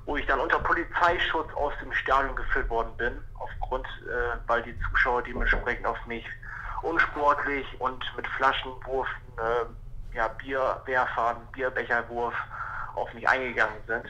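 A man's voice: medium pitch, steady tone, moderate pace, pushed and bright, a little hiss or hum. A man, giving a spontaneous interview as a football referee over a telephone line. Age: 30s.

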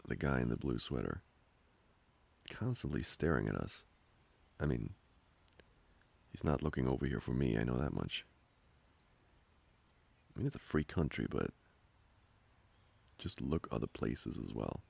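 A man acts out a protective, easily riled young boyfriend in an improvised ASMR roleplay. His voice sits extremely low, thick with gravelly fry, and swings widely in pitch as he grumbles. The delivery is halting and sarcastic. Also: a little mellow, exaggerated voice. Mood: annoyed, grumbling. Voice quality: very deep, gravelly, husky.

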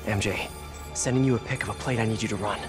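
An American actor playing an earnest, wry young superhero in a video game. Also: male voice, lowish voice, fast, over music, close to an everyday voice. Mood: puzzled, focused. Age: young adult. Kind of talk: video game cutscene acting.

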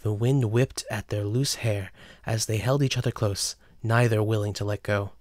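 A man narrates a dramatic story from a script. His voice is fairly low and the recording is dry and close. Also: fairly even intonation, normal speed, a little mellow, no echo.

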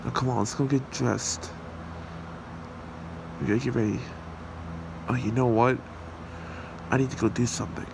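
A devoted, earnest young hero from a fan-made ASMR boyfriend roleplay voiced by a man, fry in the voice, room hum underneath. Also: medium pitch, normal speed, long pauses, lightly acted. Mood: tender. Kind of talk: amateur ASMR roleplay acting. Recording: steady hiss and hum behind voice.

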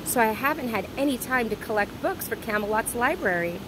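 A woman voicing a calm, mysterious old enchantress librarian in a children's storybook, measured and solemn. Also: fairly high pitch, noisy background, natural voice. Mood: calm, explaining.

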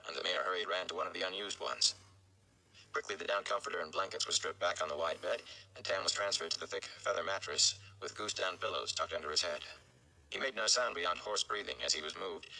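Low-pitched, forceful man reading a story. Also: creaky, low-quality recording. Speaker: amateur story reader. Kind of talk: dramatic audiobook-style narration. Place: indoors, room.

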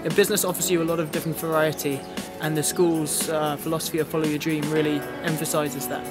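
A man's voice: a little high, flat delivery, quick pace, music in the background. A young man, a business student, speaking earnestly in a testimonial interview with small restarts.

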